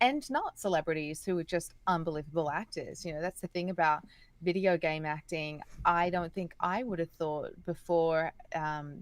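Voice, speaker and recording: A deep-voiced Australian woman talks eagerly as an interview guest. She tends to pause and hunt for words. The sound is close and dry, with no room echo. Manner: enthusiastic, chatty, searching for words. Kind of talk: casual interview chat.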